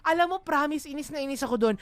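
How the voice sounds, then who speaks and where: A woman with a Filipino accent co-hosting a casual radio chat, bantering in an animated, teasing, playfully indignant way. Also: sits high, fast talker, dry recording. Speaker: radio co-host.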